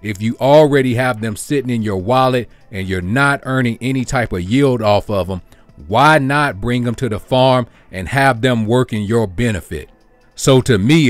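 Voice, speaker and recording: A man hosting his own channel, explaining an investing strategy step by step over a screen recording in a steady, explanatory way. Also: medium pitch, dry recording. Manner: steady, explanatory, walks through figures step by step. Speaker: crypto channel host. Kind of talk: screen-share walkthrough narration.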